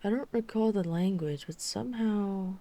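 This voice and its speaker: A woman playing a dry-witted female vampire hunter in an urban fantasy ASMR roleplay, speaking in a measured, deliberate storytelling manner. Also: mid-range voice, warm tone, close to an everyday voice. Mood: grave, wry.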